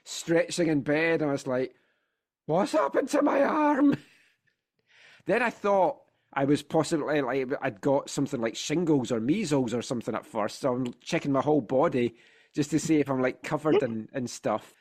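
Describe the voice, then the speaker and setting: A Scottish man hosting a football podcast chat, his pitch swinging up and down as he tells a story. He sounds a bit congested from a sinus infection. He talks in a chatty, playful way, full of asides. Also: expressive, normal speed, a little mellow. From Scotland. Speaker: podcast host.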